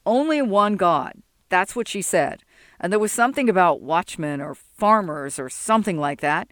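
A woman's voice: on the low side, expressive, normal speed, no echo, lightly acted. A woman voicing a stubborn old wandering man who talks in a plodding, insistent way.

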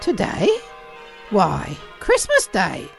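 A woman's voice: on the low side, huge pitch swings, very slow, gravelly, soft background music, full character voice. A woman voicing a cheeky, eager young street boy in a storybook reading, with an incredulous, exclamatory manner. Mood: puzzled, cheerful.

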